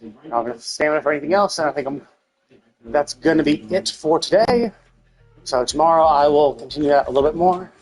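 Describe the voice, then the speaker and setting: A man's voice: a little high, a little fast, darkish tone, rough recording. A man thinking aloud in a rambling, casual way as he streams a video game. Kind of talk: casual livestream gameplay chat. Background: music.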